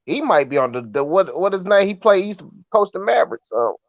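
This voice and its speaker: A man's voice: sits high, sing-song, quick pace, dry recording. Man chatting sports on dull, lo-fi audio. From Kansas, US. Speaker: sports fan panelist. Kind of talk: casual sports chat.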